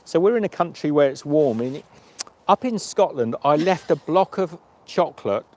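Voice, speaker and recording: A man's voice: a little high, expressive, moderate pace, warm tone. A man from Australia chatting casually on a travel livestream, rambling and correcting himself. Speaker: vlog host.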